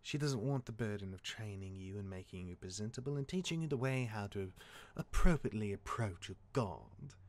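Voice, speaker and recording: An actor playing a cocky, jaded demigod of misfortune mentoring his younger brother in a fantasy drama, smooth-talking and wry, with dramatic turns. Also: lowish voice, lively intonation, fairly quick, few pauses, darkish tone. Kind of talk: character acting in fantasy audio drama.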